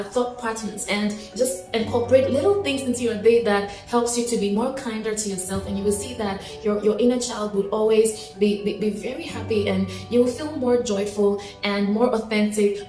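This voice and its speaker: A woman's voice: mid-range voice, reverberant. A woman in her late twenties, sharing personal reflections in an earnest, heartfelt way, often restarting her sentences. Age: late 20s. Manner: earnest and heartfelt, with soft laughs and many restarts. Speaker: vlogger. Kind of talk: personal reflective talk to camera.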